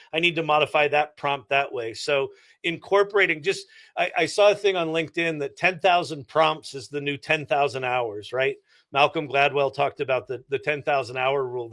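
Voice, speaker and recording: A man's voice: fairly high pitch, pushed and bright. A middle-aged man giving casual, reflective and explanatory answers as an interview guest. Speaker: interview guest, entrepreneur and former actor.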